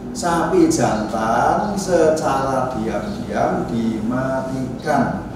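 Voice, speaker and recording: Heard from a distance in a very echoey room with clear background noise, a man lectures. He explains ideas informally and deliberately, punctuating his phrases with short check-in tags at an average pitch. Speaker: university anthropology lecturer.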